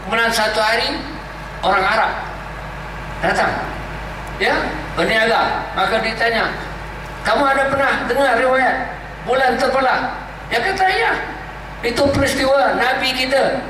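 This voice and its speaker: A man from Malaysia with a Malaysian Malay accent, preaching a sermon and telling a story with emphatic repetition. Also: very high voice. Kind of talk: religious sermon. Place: large gathering hall. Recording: echoey hall, distant mic, noisy.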